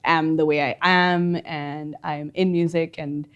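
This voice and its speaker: A woman's voice: low voice, fairly even intonation, measured pace, clean tone, lo-fi sound. A woman, a guest singer chatting casually in an interview, laughing often and using many fillers. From India. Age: 20s.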